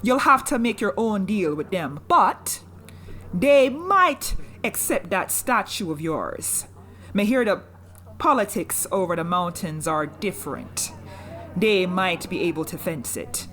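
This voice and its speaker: An actress playing a shrewd, commanding woman smuggler captain in an audio drama, wry and deliberate, with rough nonstandard grammar. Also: lowish voice, animated, fairly bright tone. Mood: firm.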